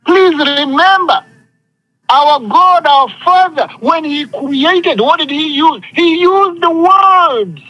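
Very high-pitched, emphatic male preacher. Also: animated, slow, pushed and bright, no room sound, low-quality recording. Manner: emphatic, repetitive, seeking audience responses. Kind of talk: impassioned call-and-response sermon. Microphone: phone conference call.

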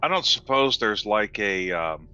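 A man chatting casually and a little haltingly as a player in a tabletop roleplaying game. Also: lowish voice, very animated, bright, forceful tone, clear, resonant tone, faint music behind. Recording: dull lo-fi voice chat audio.

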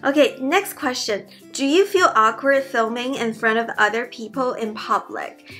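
Young woman, Mandarin Chinese accent, earnest chatty vlogger, crisp bright tone, faint music underneath. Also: fairly high pitch, moderate pace. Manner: chatty, earnest, with frequent restarts. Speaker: YouTuber and PhD student. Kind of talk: casual Q&A vlog talk. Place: bedroom, indoors.

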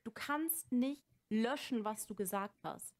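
A woman's voice: fairly high pitch, lively intonation, a little slow. A woman who is an illustrator and art streamer, introducing herself in a light, modest way.

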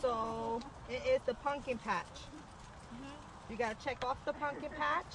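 A woman's voice: sits high, steady tone, a little slow, noisy background. A mother chatting casually with her family on an outdoor walk, recorded on a handheld camera. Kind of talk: casual family chat while walking. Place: outdoors in a cornfield maze. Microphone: handheld camera microphone.

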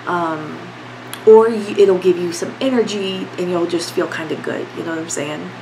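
A woman's voice: fairly low pitch, steady tone, moderate pace, noisy background. A young woman vlogging from her bedroom, congested from a cold, talking candidly with lots of fillers. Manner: rambling, filler-heavy, candid. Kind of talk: casual talk to camera. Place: bedroom at home, indoors.

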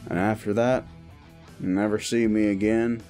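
A man playing a gruff, world-weary cowboy bounty hunter in a Western audio roleplay, with a folksy, threatening yet wry manner. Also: fairly low pitch, fairly even intonation, normal speed, warm tone, lightly acted. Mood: impatient, firm. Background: guitar music.

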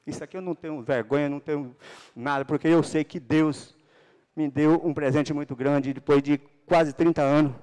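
A man's voice: on the high side, steady tone, moderate pace, darkish tone. Fervent middle-aged man speaking in a council chamber. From Paraná, Brazil. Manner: fervent, religious, rising to emphatic bursts. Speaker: city councilman. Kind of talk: political speech. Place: council chamber, large indoor hall. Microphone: handheld microphone.

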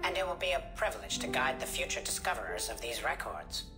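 A man's voice: mid-range voice, very animated, fast, lightly acted. A man playing a polite, officious artificial intelligence assistant in a video game, formal and precise. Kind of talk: video game cutscene acting. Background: music.

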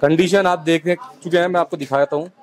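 A man in his thirties walks through his basement stockroom, pitching deals with eager repetition. He speaks into a lapel mic, and his voice sits a bit on the high side. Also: steady tone, fairly quick. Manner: eager salesman, keeps repeating "absolutely". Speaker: wholesale shop owner. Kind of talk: sales pitch in a store tour. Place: small basement stockroom lined with shelves.

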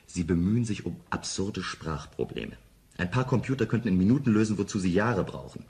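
Deep-voiced scornful cynic. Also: male voice, expressive, fairly quick, a little mellow. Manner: dismissive, argumentative. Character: cynical, scornful man disdainful of foreigners. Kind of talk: radio drama dialogue.

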